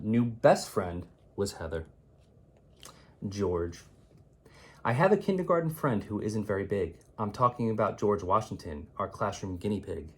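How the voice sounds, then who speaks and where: Male storyteller for a kids' picture book: lowish, husky voice, theatrical delivery, stretched key words. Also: a little mellow. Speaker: teacher reading a picture book aloud. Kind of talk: expressive children's read-aloud of rhyming poems.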